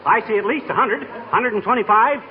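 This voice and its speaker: A man's voice: high-pitched, a little fast, natural voice. A man playing a cocky, scheming middle-aged husband with brash, folksy talk in a 1940s radio comedy. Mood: excited, cocky. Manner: folksy, brash, clipped exclamations. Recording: muffled 1940s radio recording with music.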